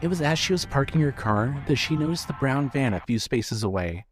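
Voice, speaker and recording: A man narrating scripted fiction as a storyteller, in a measured manner. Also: mid-range voice, expressive, soft background music.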